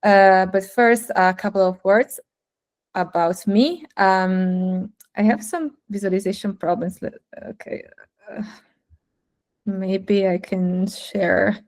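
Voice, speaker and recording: A woman's voice: mid-range voice, steady tone, slow pace, a little mellow. A young woman, a native Italian speaker, giving a technical talk over a video call, hesitant with many fillers and restarts. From Italy. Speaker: guest speaker.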